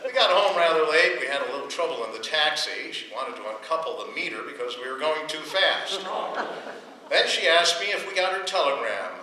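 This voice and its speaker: A man playing a wry, put-upon, grumbling husband who narrates an old-time radio comedy. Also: a little high. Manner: dry, wisecracking, grumbling. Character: wry, put-upon husband telling his domestic troubles. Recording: echoey room, distant mic, faint noise.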